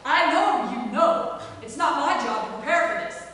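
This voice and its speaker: A woman's voice: sits high, animated, normal speed, fairly bright tone. A woman acting an indignant, grieving employee who stands her ground, in a stage play reading. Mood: exasperated. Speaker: actor at a table reading. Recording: very echoey hall, far from mic.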